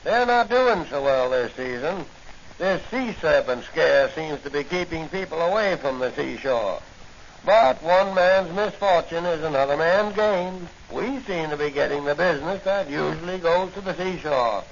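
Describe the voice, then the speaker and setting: A self-satisfied hotel owner with a gravelly edge. It is 1940s radio acting on a dull, faintly noisy old recording. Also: male voice, on the high side, lively intonation, normal speed. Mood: smug. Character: smug male lakeside hotel owner.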